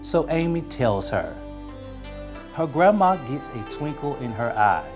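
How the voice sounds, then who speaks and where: A man's voice: mid-range voice, sing-song, measured pace, over music. A man narrating a scripted children's story as a guest reader.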